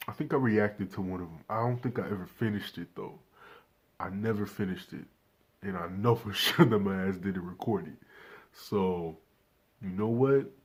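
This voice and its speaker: A man's voice: low voice, moderate pace, breathy edge. A nineteen-year-old man doing casual reaction commentary, laid-back and swearing freely, talking straight to his viewers without a proper microphone. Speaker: reaction channel host.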